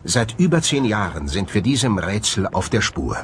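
A man reads scripted documentary narration in a measured, solemn way. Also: fairly low pitch, sing-song, a little hiss or hum. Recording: music bed under the voice. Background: music.

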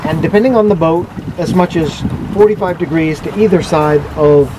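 An older man captains a sailboat and explains the basics in a practical, no-fuss way, his voice on the high side. He is out on the open deck, with wind and water noise clearly around him. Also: normal speed. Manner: matter-of-fact, practical explanations. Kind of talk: casual instruction interview. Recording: wind and boat noise outdoors.

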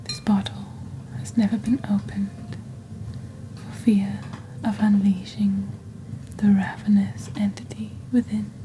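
A woman voicing a witch who presents magical wares in soft-spoken, breathy, deliberate ASMR style, close to the microphone. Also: mid-range voice, little pitch movement, slight background noise, close to an everyday voice, low-quality recording. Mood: hushed, mysterious. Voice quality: breathy, whispery. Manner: slow, deliberate, hushed storytelling. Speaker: ASMR performer as shopping channel presenter. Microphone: close desk microphone.

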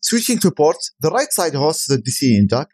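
High-pitched man narrating a tech review. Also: sing-song, normal speed, a little mellow. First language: Arabic. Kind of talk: scripted voice-over product review.

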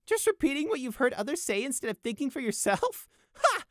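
A man's voice: high-pitched, big pitch swings, normal speed, darkish tone, dry recording, studio quality, pitched above the performer's own voice. A man voicing a small, young male pony prisoner who talks in a flippant, needling, theatrical way in a storybook reading. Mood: gleeful mockery. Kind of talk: audiobook character acting.

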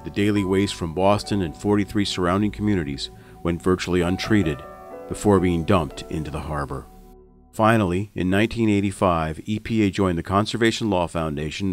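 A man reading scripted documentary narration in a formal, measured way. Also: deep voice.

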